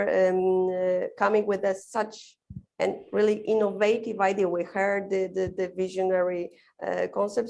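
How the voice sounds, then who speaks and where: Gravelly-voiced woman moderating a panel. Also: mid-range voice, darkish tone. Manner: hesitant, many "uh" fillers. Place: conference stage.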